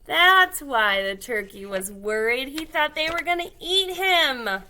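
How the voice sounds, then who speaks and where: Lady doing a kids read aloud, bright highish voice, expressive, asks the kids stuff. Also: slight background noise. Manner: expressive, pausing to question young listeners. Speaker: read-aloud storyteller, teacher. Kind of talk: children's picture book read-aloud.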